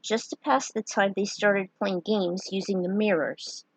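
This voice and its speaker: A woman narrating a story read-aloud in a deliberate way, with dramatic pauses. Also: medium pitch, steady tone, dry recording, rough recording. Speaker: narrator reading own fan fiction.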